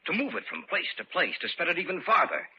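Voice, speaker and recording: An actor playing a grave, urgent scientist in an old-time radio horror drama, intense and ominous. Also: male voice, on the high side, sing-song, fast. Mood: intense, certain. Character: grave, urgent scientist fearing a deadly new life form. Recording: muffled, noisy vintage radio recording.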